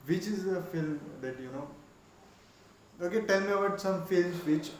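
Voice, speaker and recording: A man's voice: sits high, normal speed. Young Indian man hosting, echoey room. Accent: Indian English. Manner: friendly, questioning, hesitant fillers. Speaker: podcast host. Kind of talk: casual chat. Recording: echoey room, faint noise.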